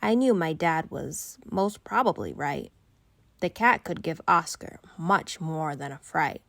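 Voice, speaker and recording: A woman reading a rhyming children's bedtime story aloud in an expressive storytelling style. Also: sits low, unhurried, gravelly, slight background noise.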